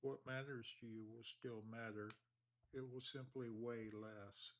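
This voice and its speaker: A man leading a guided meditation, speaking slowly and calmly with long pauses. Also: mid-range voice, slight background noise. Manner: slow, calm, measured delivery with long pauses.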